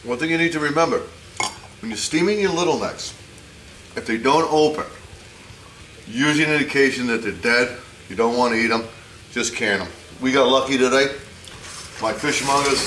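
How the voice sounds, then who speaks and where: A relaxed middle-aged man talks through a recipe at an easy, folksy pace and drops a few wry jokes. He is picked up by the camera from a distance in an echoey home kitchen. Pans and cutlery clatter loudly behind him. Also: mid-range voice, background noise. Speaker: home cooking show host. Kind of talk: casual cooking demonstration. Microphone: camera mic from a distance. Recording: echoey home video from far off.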